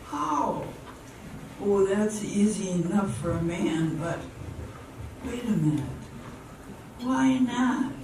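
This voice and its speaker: A woman playing a romantic leading lady in an old-time radio drama, with slow theatrical delivery, picked up from a distance in a roomy space. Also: medium pitch, lively intonation. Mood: anxious, hopeful. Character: Hannah, lovelorn young woman turned playfully bossy sweetheart. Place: live amateur performance in a hall. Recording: roomy, distant stage mic with faint noise.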